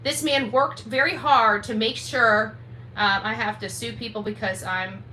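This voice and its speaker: A woman's voice: on the high side, fairly even intonation, a little slow, pushed and bright. A woman hosting a livestream rant in an indignant, combative, emphatic way, repeating herself for force. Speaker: livestream host. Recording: roomy echo, faint background noise.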